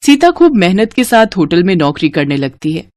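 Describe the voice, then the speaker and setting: A woman's voice: fairly low pitch, sing-song, normal speed, darkish tone, no echo, studio quality. A woman reading scripted narration as the narrator of a story.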